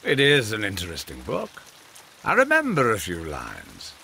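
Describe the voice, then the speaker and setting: A calculating Victorian gentleman of state talks in a low, sharp British voice that swoops widely. He is pompous and deliberate, insinuating with every phrase, in a game cutscene with faint rain behind him. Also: wildly swooping pitch, creaky, background noise, heavily acted. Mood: probing, measured. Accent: British English. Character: imperious, calculating older brother, Victorian government gentleman. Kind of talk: video game cutscene acting.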